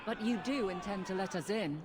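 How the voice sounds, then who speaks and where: A woman's voice: mid-range voice, brisk, crowd noise, background noise, close to an everyday voice. A woman playing an outspoken, indignant young refugee in a fantasy video game. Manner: indignant, forthright.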